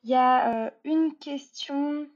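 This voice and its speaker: A woman's voice: high-pitched, takes it slowly. A woman moderating an online meetup informally, reading out audience questions over a muffled video call. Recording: dull video-call audio.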